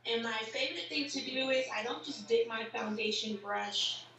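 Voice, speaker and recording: A woman with a slightly raspy, tired voice talks through a makeup tutorial in a loose, chatty way, full of fillers. She sounds set back from the mic in a very echoey room. A faint hiss sits underneath. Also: fairly high pitch, normal speed, bright, forceful tone, far from the mic. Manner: chatty, filler-heavy, explaining as she works. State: tired voice after a workday. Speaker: makeup vlogger.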